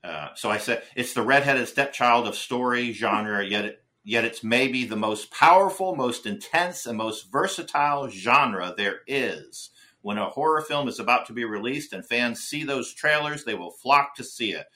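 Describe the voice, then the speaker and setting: A man's voice: mid-range voice, expressive, moderate pace, bright, forceful tone. A man co-hosting a casual film podcast, rambling and chatty with lots of restarts and fillers. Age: older than his co-host. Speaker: podcast co-host.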